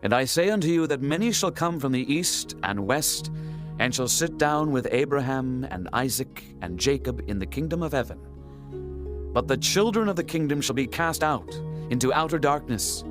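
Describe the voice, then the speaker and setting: An actor playing a calm, authoritative teacher in a dramatized audio Bible, solemn and measured. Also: male voice, medium pitch, music in the background, lightly acted.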